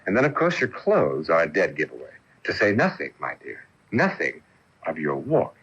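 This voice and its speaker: Suave gentleman ghost: playfully knowing, theatrical flourishes, animated melody, radio drama, dull broadcast sound. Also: sits low, moderate pace, husky, heavily acted. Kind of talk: radio mystery acting. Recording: dull off-air AM radio recording.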